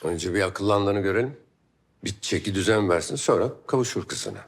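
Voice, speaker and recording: A male actor plays a cold, unforgiving man of authority in a TV drama. His voice sits very low, and he gives orders in curt, menacing phrases, with music underneath. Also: moderate pace, close to an everyday voice. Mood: stern. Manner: curt, commanding. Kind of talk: TV drama acting.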